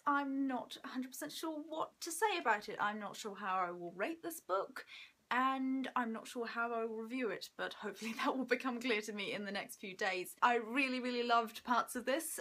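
A woman in her twenties giving an enthusiastic, expressive book review in casual chat to camera at home. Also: a little high. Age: 20s. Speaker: book vlogger. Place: small room at home by a window.

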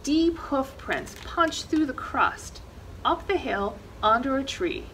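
Higher-voiced woman reading a storybook, unhurried. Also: slight background noise. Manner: animated, dramatic emphasis on action words. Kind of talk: expressive children's picture-book read-aloud. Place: indoor library reading corner, seated.

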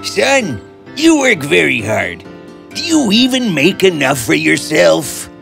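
A woman's voice: deep voice, huge pitch swings, takes it slowly, vocal fry, a bit bright, faint music behind, pitched below the performer's own voice, heavily acted. A woman voicing a kindly old male peddler giving fatherly advice in a fairy tale. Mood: advising, fatherly.

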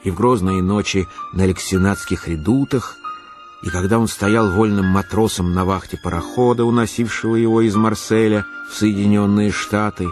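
A low male voice tells a literary tale for a radio play , performed by an actor. The storytelling is measured and expressive, with music behind it. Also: natural voice. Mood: reflective. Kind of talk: dramatic literary narration.

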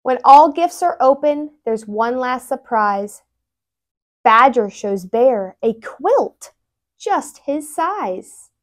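A young woman reads a children's storybook aloud with lots of expression. Her voice is fairly high and swings widely in pitch, and she takes her time, going slowly from phrase to phrase. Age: young adult. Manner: animated, with brief asides explaining words. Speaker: tutor reading a picture book aloud. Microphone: lapel mic.

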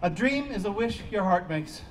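A man's voice: high-pitched, animated, moderate pace. A man giving scripted narration, reading out stage directions at a live outdoor play reading. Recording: distant outdoor stage pickup, faint noise.

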